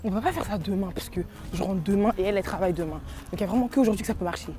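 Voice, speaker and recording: A woman's voice: medium pitch, rapid-fire. A young woman from France chatting casually to her phone camera in a vlog, rambling and giggling, with a head cold. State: has a cold. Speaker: vlogger.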